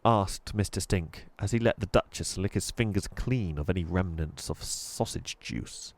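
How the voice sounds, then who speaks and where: A man narrating a children's storybook expressively, with deliberate, dramatic emphasis. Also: deep voice, dry recording. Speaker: storybook reader.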